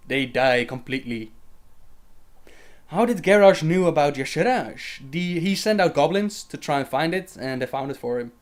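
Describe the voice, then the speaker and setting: A man's voice: fairly high pitch, expressive, normal speed. Chatty young man answering viewer questions. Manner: chatty, reads questions aloud then answers with fillers and laughs. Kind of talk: casual livestream Q&A.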